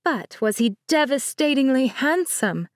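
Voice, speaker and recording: A bubbly young bride who gushes and teases in a high, bright lilt, as a woman puts her on. The voice sits well above a plain speaking tone and is recorded close and dry. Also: animated, measured pace, dry recording. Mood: teasing. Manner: gushing, teasing, bright.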